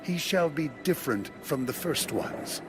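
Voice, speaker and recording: Male Bible narrator, solemn, measured, unhurried pace, dramatic reading, music bed. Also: fairly high pitch, animated. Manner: measured, deliberate delivery.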